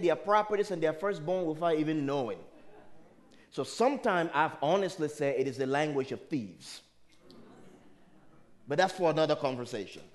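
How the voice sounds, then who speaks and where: A man's voice: high-pitched, darkish tone. A man from Liberia with a Liberian accent, a native speaker of a Liberian dialect, preaching emphatically to a live audience and putting rhetorical questions to them. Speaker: spiritual speaker. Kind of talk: motivational sermon to a live audience.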